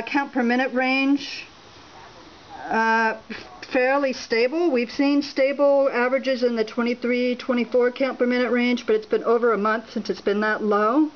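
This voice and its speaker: A woman at a home filming a laptop screen, talking without a script and reading out measurement numbers with many pauses and fillers. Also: a little high, muffled recording, a little hiss or hum. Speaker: home radiation monitoring vlogger. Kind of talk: unscripted on-camera walkthrough. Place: indoors at a home, beside a laptop.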